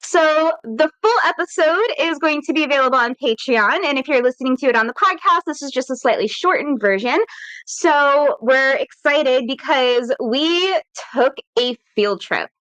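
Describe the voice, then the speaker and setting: A woman's voice: high voice, lively intonation, normal speed, a bit bright, no room sound, low-quality recording. A woman hosting a casual podcast, chatty and upbeat, laughing easily. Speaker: podcast host and editor. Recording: dry room, some static.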